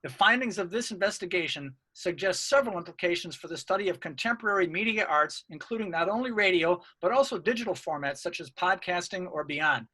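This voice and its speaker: A man's voice: high-pitched, animated, a little fast, hardly any pauses, bright, forceful tone. A man reading out a scripted academic paper as a researcher, in a formal and earnest manner. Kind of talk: scripted academic presentation, read aloud.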